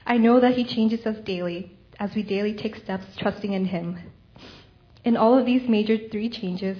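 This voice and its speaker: A woman's voice: mid-range voice, muffled recording. Earnest young woman reading her testimony. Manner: earnest, measured, prayerful. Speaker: church member giving testimony. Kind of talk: read personal testimony and prayer.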